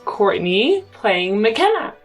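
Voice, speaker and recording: A woman playing in a tabletop game show, speaking casually in a measured, deliberate way. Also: medium pitch, very animated. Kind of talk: reciting a homemade rhyme, casual chat. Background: music.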